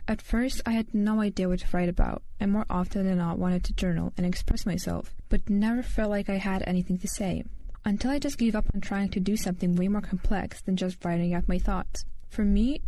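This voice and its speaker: A woman's voice: mid-range voice, mellow, slight background noise, low-quality recording. Teenage girl with a Lithuanian accent. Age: teens. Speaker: podcast host. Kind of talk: scripted solo podcast monologue.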